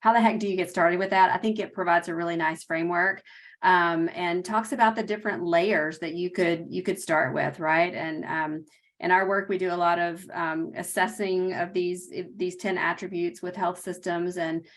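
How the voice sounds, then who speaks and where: A woman's voice: lowish voice, fairly even intonation, moderate pace. A woman on a webinar panel, explaining health literacy work in a practical, explanatory way over a video call. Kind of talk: panel discussion.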